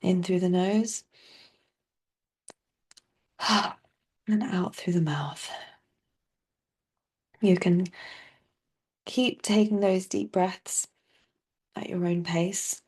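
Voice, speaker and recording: A woman leading a guided body scan meditation as a teacher, speaking softly and soothingly with long, unhurried pauses. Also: lowish voice, slightly rough.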